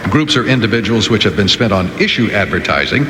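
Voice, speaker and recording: A man's voice: fairly low pitch, big pitch swings, quick pace, sharp tone, slight background noise. Man reading radio news, echoey reverberant sound. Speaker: radio newsreader. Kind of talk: news reading with casual asides.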